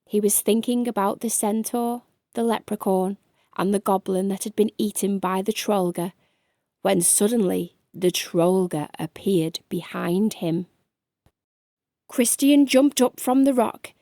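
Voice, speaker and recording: A woman's voice: mid-range voice, unhurried, warm tone, dry recording, clean studio sound. A woman storyteller reading a children's bedtime story aloud.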